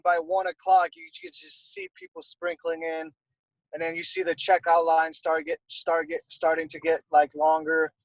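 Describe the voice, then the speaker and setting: A man's voice: on the high side, dry recording, low-quality recording. A young man from Costa Rica, a guest airbrush artist, talking casually and rambling with many fillers. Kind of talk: casual interview chat.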